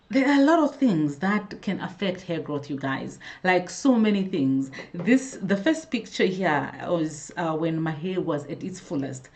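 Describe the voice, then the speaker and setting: A woman hosting a casual live stream, chatting to viewers, greeting them by name and often restarting her sentences. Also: lowish voice. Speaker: hair care vlogger hosting a live stream.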